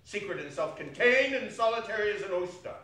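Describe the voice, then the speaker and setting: A man giving a theatrical dramatic reading of a classic story, declaiming and relishing drawn-out words. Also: high voice, animated, pushed and bright. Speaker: stage reader in a theatre company. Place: arts center performance space, distant camera. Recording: echoey hall from far off, faint noise.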